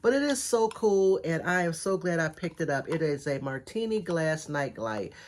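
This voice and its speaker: A middle-aged woman with a raspy, congested voice, chatting enthusiastically through a thrift haul video. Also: sits low, expressive, normal speed, darkish tone. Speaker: thrift reseller vlogger. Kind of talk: casual haul video chat.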